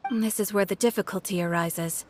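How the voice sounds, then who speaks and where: A woman's voice: mid-range voice, steady tone, brisk, a little mellow, a little hiss or hum, close to an everyday voice. A woman voicing a composed female guild receptionist in a video game, formal and courteous as she explains things. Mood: courteous, apologetic.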